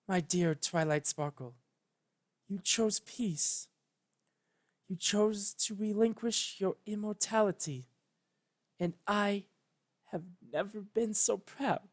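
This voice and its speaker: A man voicing an ancient regal female pony princess, tender, proud and sorrowful, speaking solemnly and haltingly. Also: high voice, voice pushed up, full character voice.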